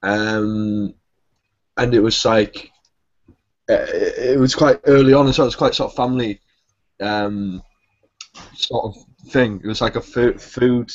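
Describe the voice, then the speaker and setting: A man's voice: on the low side, a little slow, long pauses. A man in a casual chat describing a gig hesitantly, with many fillers. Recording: dull lo-fi sound.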